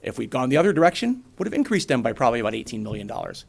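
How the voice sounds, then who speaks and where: A man in his forties with a New England accent, giving a brisk, plainspoken financial presentation to a town board and explaining with everyday examples. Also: mid-range voice, very animated, vocal fry. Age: 40s. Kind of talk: financial presentation at a public meeting.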